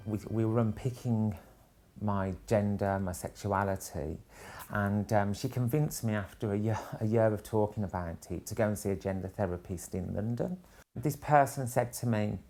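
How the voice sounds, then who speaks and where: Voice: mid-range voice, a little mellow. A middle-aged person giving personal testimony in an interview, reflective and hesitant in delivery.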